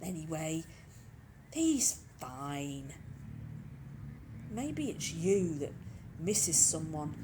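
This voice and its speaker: A woman's voice: sits low, big pitch swings, extremely slow, long pauses. A woman reads a short story expressively, in a conversational way that addresses the listener. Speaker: reader of short stories. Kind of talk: expressive scripted story reading. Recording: clearly noisy with background ambience.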